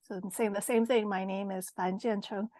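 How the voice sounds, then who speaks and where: A woman's voice: medium pitch, fairly even intonation, moderate pace, darkish tone, no room sound. A woman from Taiwan with an American accent tinged by Mandarin, chatting hesitantly on a video call with lots of ums and restarts. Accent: American English with a Mandarin tinge. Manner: hesitant, many ums and self-corrections. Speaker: course participant. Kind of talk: casual group chat on a video call.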